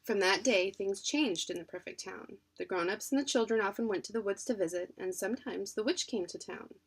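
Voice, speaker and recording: Storybook narrator: adult female, medium pitch, expressive read-aloud delivery for children. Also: husky. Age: adult, parent. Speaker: children's book reader.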